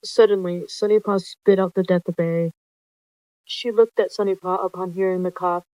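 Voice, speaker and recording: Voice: mid-range voice, fairly even intonation, moderate pace, darkish tone, clean tone, no room sound. A narrator reading a roleplay story dramatically, describing the characters' actions, on a lo-fi recording. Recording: dull lo-fi close dry recording.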